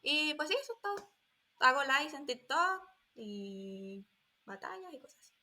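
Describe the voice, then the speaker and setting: A woman in her twenties from Colombia talks casually as a podcast guest. Her voice sits a little high and sounds bright and forward. She often pauses and restarts while she hunts for the right words. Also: very animated. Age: 20s. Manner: hesitant, searching for words. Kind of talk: casual interview talk.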